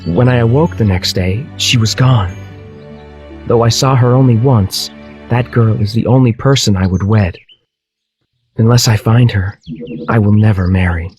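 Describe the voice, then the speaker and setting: A man voicing a kind young prince, sincere, gentle and romantic, in a children's storybook tale. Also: lowish voice, normal speed, pauses often, faint music behind, natural voice. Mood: earnest recollection. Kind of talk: children's storybook character acting.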